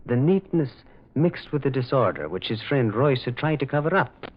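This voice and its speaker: A gentle priest detective in an old radio mystery. His delivery swings widely in pitch, hesitant yet kindly as he moralizes. The sound is thin and narrow, like an old phone line. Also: big pitch swings, moderate pace, breathy edge. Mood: mild, reflective. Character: gentle, earnest priest detective, mild and thoughtful. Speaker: actor playing the lead.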